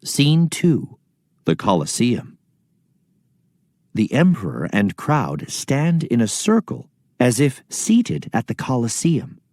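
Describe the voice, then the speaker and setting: A man's voice: medium pitch, big pitch swings, takes it slowly, darkish tone, dry recording, clean studio sound. A man narrating a scripted children's play, reading out stage directions and story.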